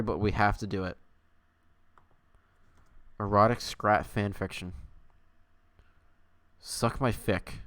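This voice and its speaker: A man's voice: on the low side, fairly bright tone, dry recording. A man hosting a livestream, switching between dramatic story reading and casual, playful chat. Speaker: livestream host.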